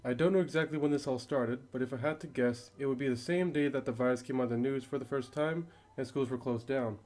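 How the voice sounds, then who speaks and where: A man's voice: medium pitch, brisk, a little mellow, close to an everyday voice. Guy playing a worried dad in a radio play, earnest, thinking back, normal mid voice. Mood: reflective. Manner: earnest, reflective. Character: worried father earnestly recalling the past. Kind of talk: amateur radio drama acting.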